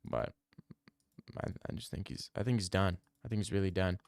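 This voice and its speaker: A man's voice: low-pitched, steady tone, normal speed, gravelly, darkish tone, no echo, clean studio sound. A young man live-streaming from home on a desk mic, bantering loosely with his chat and swearing freely. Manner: loose, profane banter, reads chat aloud and riffs.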